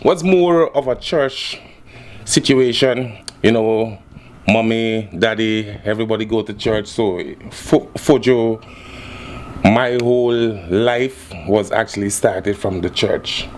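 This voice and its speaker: Guyanese male guest, lowish voice, laid-back chat. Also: slow, a little hiss or hum. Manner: relaxed, laid-back, repeats "yeah, man". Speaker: guest musician. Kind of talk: casual interview.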